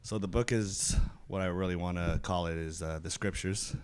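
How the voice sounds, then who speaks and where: Low-voiced man chatting on a podcast. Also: steady tone, moderate pace, clear, resonant tone. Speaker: podcast guest. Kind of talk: casual group chat.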